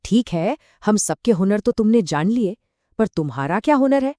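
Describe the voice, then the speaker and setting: A woman's voice: medium pitch, animated, normal speed, dark tone, no room sound, clean studio sound, natural voice. A woman storyteller voicing cocky male thieves with a challenging, scoffing way of talking.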